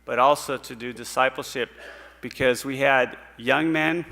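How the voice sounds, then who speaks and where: Bright-voiced man in a reverberant church. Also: medium pitch, gravelly. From Puerto Rico. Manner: earnest, storytelling, pauses with fillers. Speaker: missionary. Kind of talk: mission report to a congregation. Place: church sanctuary stage.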